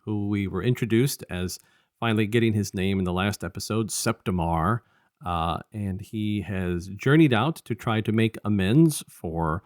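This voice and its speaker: A man with a fairly low voice chats casually as a review co-host. He rambles and restarts his thoughts as he goes. The sound is close and dry, with very little room in it. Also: no echo. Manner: halting and rambling, often restarting sentences. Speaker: podcast co-host.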